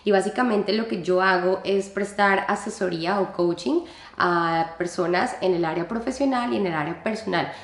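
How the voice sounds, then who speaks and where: Colombian-born woman in an echoey room. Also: mid-range voice. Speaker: interviewee, psychologist. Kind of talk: recorded interview answers.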